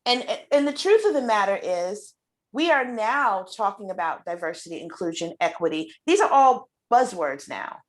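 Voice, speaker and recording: A woman's voice: mid-range voice, big pitch swings, normal speed. A middle-aged woman hosting a casual conversational interview, effusive and repeating phrases for emphasis. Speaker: show host and children's book author.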